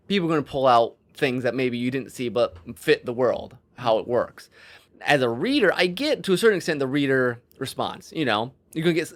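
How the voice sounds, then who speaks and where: A man speaks as a podcast co-host in an informal discussion. His delivery is halting and exploratory, as he works out his thoughts aloud and often restarts mid-sentence. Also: mid-range voice. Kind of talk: casual discussion of storytelling.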